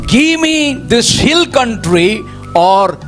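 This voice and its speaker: Slow, high-pitched Indian preacher man. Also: big pitch swings, fairly bright tone. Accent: Indian English. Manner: exclamatory, punctuates with hallelujah, rises to rousing pitch. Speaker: pastor. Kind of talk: preaching sermon with interpreter. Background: faint music.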